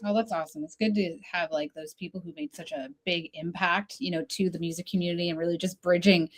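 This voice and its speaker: A woman's voice: on the low side, steady tone, fast talker. A woman co-hosting a podcast, giving thoughtful, long, winding remarks in casual chat. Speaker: podcast co-host.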